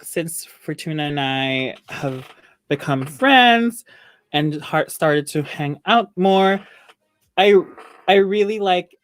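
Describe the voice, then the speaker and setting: Eager woman, unhurried talk. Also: low voice. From Philippines. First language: Filipino. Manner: earnest, enthusiastic, many fillers like "like" and "you know". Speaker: dance company member. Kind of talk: casual group chat.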